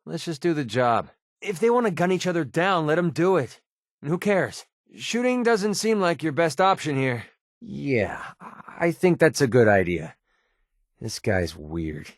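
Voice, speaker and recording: A man's voice: fairly high pitch, very animated, measured pace, no room sound, clean studio sound. An American man playing a cynical, wisecracking young mercenary in a video game, with a casual, sarcastic, slangy delivery. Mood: skeptical. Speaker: video game companion character.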